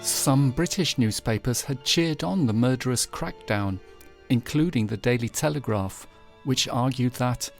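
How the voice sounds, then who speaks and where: A man reading scripted, documentary-style news headlines in a measured, formal manner. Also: mid-range voice, gravelly. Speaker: narrator presenting historical news headlines. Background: background music.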